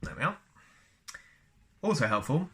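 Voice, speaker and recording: A man's voice: mid-range voice, big pitch swings, unhurried. A man reading a book aloud as a storyteller, chatty and often slipping into casual asides. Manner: chatty, wanders into personal asides.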